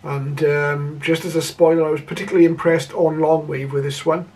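Older male hobbyist presenter, measured and hesitant, even pitch, recorded from a short distance. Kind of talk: casual video introduction. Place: indoor room full of radio equipment. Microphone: camera a short distance away. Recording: slightly distant camera microphone.

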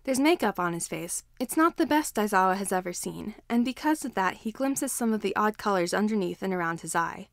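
Female story narrator, medium pitch, expressive scripted reading in a dry, echo-free space. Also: fairly quick, few pauses. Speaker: fan fiction narrator.

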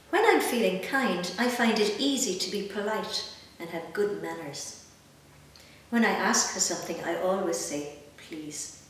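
Echoey Irish woman reading to children. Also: medium pitch. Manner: gentle, reassuring, simple explanations addressed to young children. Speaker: children's story reader. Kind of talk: picture-book reading with chatty asides to children. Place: small indoor room with bookshelves. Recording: roomy echo, distant mic, background noise.

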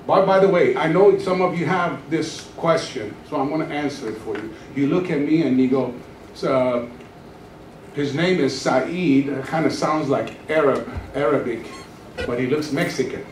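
A man in his sixties, originally from Iran, tells his testimony from the pulpit with easy humor, his voice sitting a little high for a man. He speaks into a handheld mic, but the camera catches him from across a roomy church hall, so the sound is distant and echoing. A steady hum of background noise sits under every line. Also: moderate pace. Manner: storytelling, joking, animated gestures while pacing the stage. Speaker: pastor. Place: church stage, large room. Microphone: handheld microphone. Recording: echoey church hall from far off.

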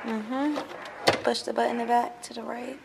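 A woman's voice: fairly high pitch, flat delivery, normal speed, creaky, reverberant. A young woman chatting playfully to her handheld camera as she films a trip vlog. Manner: chatty, playful, self-filming. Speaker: vlogger. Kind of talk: casual vlog chat. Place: rental house and outdoors in snow. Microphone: handheld camera at arm's length. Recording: noisy handheld camera audio.

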